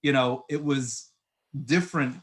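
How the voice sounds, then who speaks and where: A young man hosting a podcast, telling stories in a loose, animated way with lots of restarts. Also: medium pitch, unhurried. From born in Egypt, raised in Puerto Rico, Queens and Long Island. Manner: animated storytelling with frequent restarts and "like". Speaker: podcast host. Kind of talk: casual conversation.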